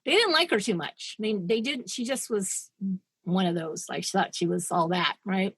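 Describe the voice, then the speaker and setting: A woman's voice: mid-range voice, moderate pace, a bit bright, husky, no echo. A woman co-hosting a podcast, retelling a true-crime story in a chatty, digressive way and laughing at her own asides. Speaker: podcast co-host.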